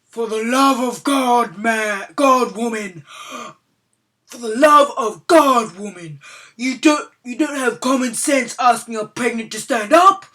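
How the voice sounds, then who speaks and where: A woman's voice: a little high, takes it slowly, pushed and bright, pitched above the performer's own voice. A woman voicing a blunt old man who scolds a rude passenger in exasperation. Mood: scolding.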